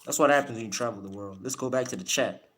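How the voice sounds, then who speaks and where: A man's voice: mid-range voice, fast talker, a bit bright. A man with a South African accent mixed with American, hosting a casual live stream in slangy talk and often repeating his words and phrases. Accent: South African with American influence. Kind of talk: casual boxing talk, chatting to viewers.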